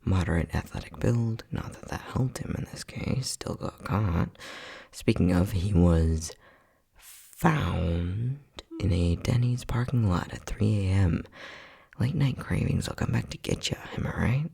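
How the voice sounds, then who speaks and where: Male actor as mad scientist, very breathy and husky, creaky, sardonic, bossy, theatrically playful, ASMR roleplay. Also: low-pitched, measured pace, warm tone, heavily acted. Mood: smug, playful. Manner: sardonic, teasing, condescending, with frequent sighs.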